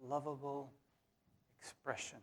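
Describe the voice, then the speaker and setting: A man leading a guided meditation in a soothing, unhurried way, leaving long pauses between short phrases. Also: medium pitch, little pitch movement. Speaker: meditation leader.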